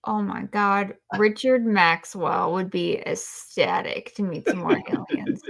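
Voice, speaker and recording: A woman's voice: medium pitch, slow, gravelly. A woman co-hosting a casual review chat, blunt and wry with her opinions. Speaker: podcast co-host.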